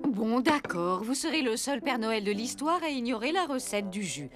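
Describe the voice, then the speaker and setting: A woman's voice: fairly high pitch, very animated, moderate pace, loud music behind the voice. A woman playing a reassuring, no-nonsense motherly Christmas helper in a children's cartoon. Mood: exasperated. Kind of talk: French children's cartoon dubbing.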